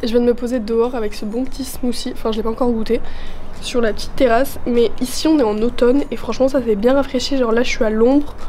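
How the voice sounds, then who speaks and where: A woman's voice: fairly high pitch, steady tone, quick pace, mellow, a little hiss or hum. A young woman in her twenties chatting in a casual vlog, her voice worn from a chest cold, upbeat and full of fillers. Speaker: vlogger. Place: at home, partly out on a terrace.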